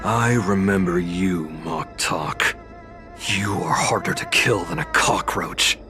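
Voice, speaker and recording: Low, slow, commanding military man. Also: over music. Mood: scornful, controlled. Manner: measured, cold, commanding. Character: stern, confident military hunter, relentless and scornful. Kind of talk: video game voice acting.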